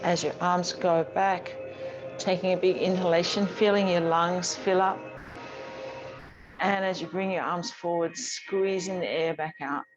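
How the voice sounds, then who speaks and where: A woman leading a gentle yoga class as the teacher, guiding with a calm, soothing, gently instructing manner. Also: fairly low pitch, unhurried, muffled recording, over music.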